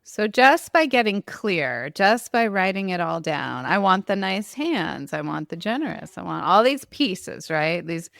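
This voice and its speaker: A woman's voice: mid-range voice, big pitch swings, measured pace, vocal fry, few pauses, fairly bright tone, no room sound. A woman hosting a casual interview, asking questions and giving short affirmations.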